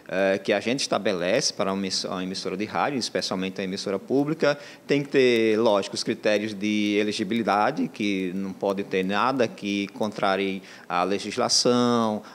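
A man in his forties, a university communications head, explaining criteria in an interview with fillers and restarts. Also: on the low side. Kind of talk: interview soundbite. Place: indoor office. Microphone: handheld reporter mic.

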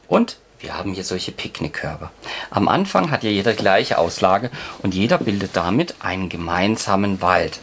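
A man's voice: sits low, big pitch swings, moderate pace, a bit bright. A man, casually explaining a board game in an encouraging, playful way, like a dad hosting. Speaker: host of a family board game channel, father. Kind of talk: casual explanatory game play-through with a child. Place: indoors at a table at home. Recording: clearly noisy room, clicking game pieces.

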